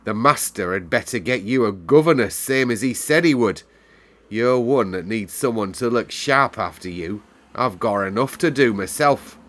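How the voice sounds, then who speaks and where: A man's voice: medium pitch, animated, moderate pace, a bit bright, natural voice. A man voicing a cross, bossy housekeeper woman in a storybook, scolding and commanding in rustic dialect wording.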